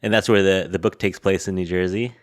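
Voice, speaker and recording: A man hosting a podcast, asking questions in a relaxed, friendly way and laughing often. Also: low voice, fairly quick. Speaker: podcast host. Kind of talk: casual interview chat.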